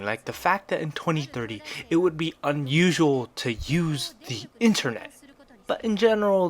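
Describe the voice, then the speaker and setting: A man reads a scripted review in the role of a video game critic. His voice sits at a medium pitch, and he delivers prepared narration throughout.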